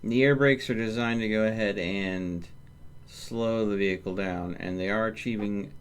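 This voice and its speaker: A man's voice: lowish voice, moderate pace. A man giving casual live game commentary as a streamer, thinking aloud and narrating his own steps in short fragments. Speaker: gaming streamer. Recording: clear background noise under the voice.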